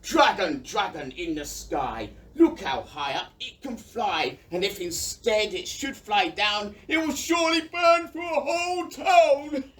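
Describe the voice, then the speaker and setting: A woman's voice: mid-range voice, animated, unhurried, hardly any pauses, fairly bright tone. A woman playing an alarmed, indignant cattle-owning villager in an audio play. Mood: dramatic alarm. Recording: distant mic with background noise.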